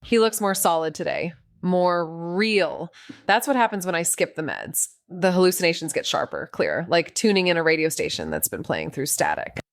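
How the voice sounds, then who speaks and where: A woman's voice: lowish voice, lively intonation, moderate pace, no echo, studio quality. A woman playing a guilt-ridden, wry amateur sleuth, narrating in the first person in a noir audio drama. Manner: wry, introspective, brooding.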